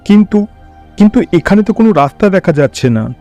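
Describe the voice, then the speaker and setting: An earnest, courtly king from a fairy-tale cartoon, played by a man. His voice is somewhat high and formally polite. Background music accompanies him. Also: lively intonation, a little fast, mellow. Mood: puzzled. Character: grateful, questioning adult male king.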